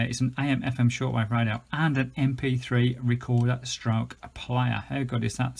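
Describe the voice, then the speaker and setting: A man's voice: mid-range voice, creaky, darkish tone. A British man giving a chatty, enthusiastic, unscripted gadget review, explaining things as he goes. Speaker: product reviewer.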